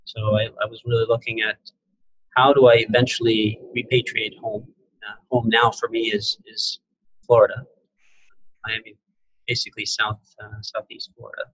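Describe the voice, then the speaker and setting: A man in his forties on a video call, a real estate investor telling his story in a relaxed, rambling way with many fillers. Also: medium pitch, slightly rough, no echo. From Syria, raised in New York and New Jersey. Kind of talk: casual interview on a video call. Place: indoor room, on a video call. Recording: dull lo-fi video call audio.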